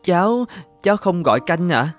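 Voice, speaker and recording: A poor young male student in an animated fairy tale. His voice is on the high side, polite and hesitant, very deferential to his elders. Soft music plays behind him. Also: normal speed. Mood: shy, polite. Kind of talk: animated moral-tale dubbing.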